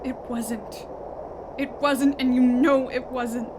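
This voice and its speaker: A woman playing an angry, betrayed figure in an audio drama, accusing in a forceful, drawn-out way. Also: high voice, little pitch movement. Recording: clear background noise and ambience.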